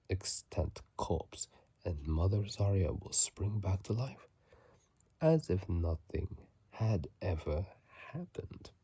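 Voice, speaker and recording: A man doing whispered ASMR commentary on a video game, soft and breathy, musing in a halting, casual way, close to a phone mic. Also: low-pitched, no room sound. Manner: halting, musing, unhurried delivery. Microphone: close phone microphone.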